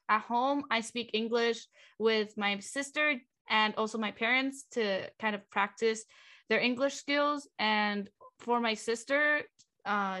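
A woman's voice: on the high side, sharp tone. A young woman from Vietnam, a native Vietnamese speaker, co-hosting a casual podcast and talking with many fillers and restarts. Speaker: podcast co-host.

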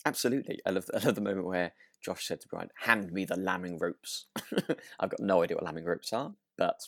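A man's voice: deep voice, fairly quick, gravelly, fairly bright tone, dry recording. A man co-hosting a podcast, recapping a radio drama in a casual, rambling way with frequent tag questions. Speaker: podcast co-host.

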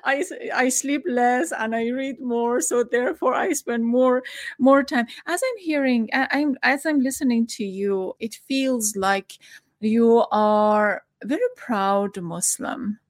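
A woman with a somewhat high voice asks questions at an unhurried pace. She is affectionate and easy with laughter, weighing her words as she goes. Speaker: interviewer. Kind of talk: conversational interview.

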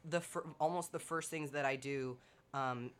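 A young woman on a podcast, telling stories in an animated way with many restarts and fillers. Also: rumbling low, normal speed, a little hiss or hum. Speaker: podcast co-host. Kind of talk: casual chat.

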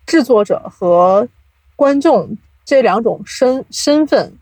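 Higher-pitched woman, reflective and conversational. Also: expressive, takes it slowly, darkish tone, no echo, rough recording. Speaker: podcast guest, audio drama producer. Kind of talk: casual conversational interview.

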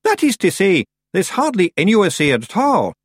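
Excitable, high-pitched Scottish fairy rogue. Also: male voice, normal speed, husky, no room sound, clean studio sound, full character voice. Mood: excited, evasive. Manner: excitable, earnest, dialect-heavy. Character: Daft Wooly, tiny male fairy rogue, eager, nervous, simple.

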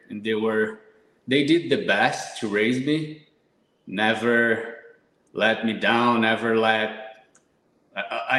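A man's voice: medium pitch, fairly bright tone, echoey room, rough recording. A man from Brazil, a podcast guest, talking casually and modestly, often restarting his words. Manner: modest, hesitant, repeats words.

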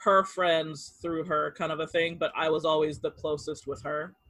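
Dry-voiced man, halting and laughing. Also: a little high, little pitch movement, pushed and bright, dry recording, low-quality recording. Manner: halting, lots of fillers and restarts, laughs easily. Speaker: interviewee. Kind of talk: casual storytelling interview.

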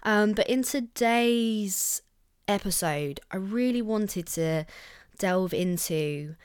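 A young woman hosting her own podcast in casual chat, upbeat and chatty, her voice croaky and husky from being ill. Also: medium pitch, expressive, takes it slowly. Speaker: podcast host.

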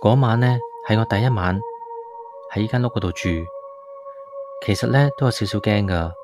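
A man's voice: on the low side, moderate pace, lightly acted. A man with a Hong Kong accent playing an uneasy city tenant, narrating a ghost story in a conversational, confiding way for a radio drama. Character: uneasy male city tenant moved to an old village house. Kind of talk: first-person ghost story narration, colloquial. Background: background music.

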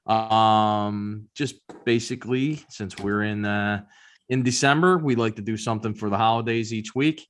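A man's voice: on the low side, normal speed. A man co-hosting a podcast in casual, informal conversation, freely giving his opinions. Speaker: podcast co-host. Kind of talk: casual chat.

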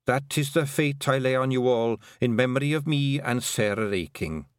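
A man's voice: medium pitch, normal speed, clean tone, no echo, clean studio sound, pitched above the performer's own voice, natural voice. A man voicing an ancient dying clan matriarch. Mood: solemn commanding. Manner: solemn, old-fashioned dialect.

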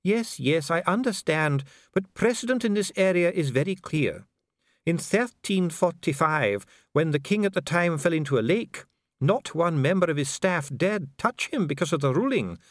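A man voicing a calm dwarf official with a measured, bureaucratic, smoothly courteous manner in an audiobook. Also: on the high side, no echo, clean studio sound, voice pushed up. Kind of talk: audiobook character voice.

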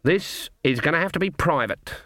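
A man's voice: fairly high pitch, expressive, moderate pace, fairly bright tone, no room sound, pitched above the performer's own voice. Guy doing a snappy old witch lady for a storybook, proud n bossy, super dry close recording. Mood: commanding, guarded. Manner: curt, dismissive, snapping. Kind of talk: audiobook character voice.

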